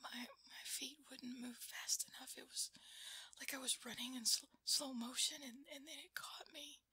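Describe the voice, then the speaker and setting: A woman playing an affectionate girlfriend shaken by a nightmare in a whispered ASMR roleplay, breathy, halting and intimate. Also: high voice, steady tone, bright, forceful tone, exaggerated voice. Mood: frightened, trembling. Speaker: audio roleplay performer.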